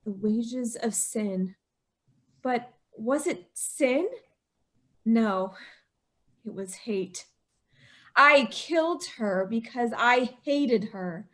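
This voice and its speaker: An actress playing a young bride driven to murder, speaking with breathless anguish in an old-time radio drama. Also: female voice, on the high side, drawn-out words, pauses often, a bit bright. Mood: bitter.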